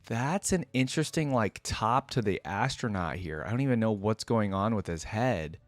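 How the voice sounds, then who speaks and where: A man's voice: on the low side, animated, moderate pace, no room sound, soft background music. A man hosting a reaction video in a casual, enthusiastic, informal way, commenting and reading aloud.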